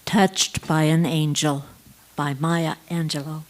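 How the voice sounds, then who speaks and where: A woman reciting poetry deliberately, with pauses between short phrases. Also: sits low, steady tone, a little mellow. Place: church service.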